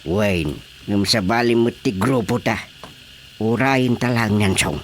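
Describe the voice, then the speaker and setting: A man playing an excitable, boastful older village chairman in a comic radio drama, with exclamatory delivery. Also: fairly low pitch, unhurried, slight background noise, close to an everyday voice. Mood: instructing. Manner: exclamatory, animated.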